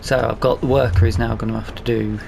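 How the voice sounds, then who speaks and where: A man's voice: mid-range voice, level tone, quick pace, creaky. A man with a Northern English accent giving casual let's play commentary, muttering and grumbling to himself as he plays. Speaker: gaming video host. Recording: loud vehicle engine noise behind. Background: farming game vehicle and ambience sounds.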